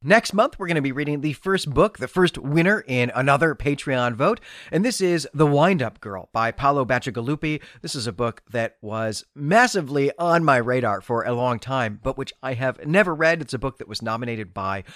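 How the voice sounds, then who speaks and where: A man's voice: mid-range voice, lively intonation, moderate pace, fairly bright tone, dry recording. A man with a mid-range voice presents a book review as a podcast host. His intonation swings widely as he speaks with emphatic, gushing enthusiasm in a conversational style. The sound is dry, with very little room in it.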